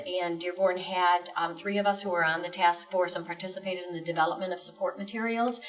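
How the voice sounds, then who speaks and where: Low-pitched woman, measured and formal. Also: fairly bright tone, recorded from a distance, rough recording. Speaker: guest, school district executive director. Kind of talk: informational interview answers.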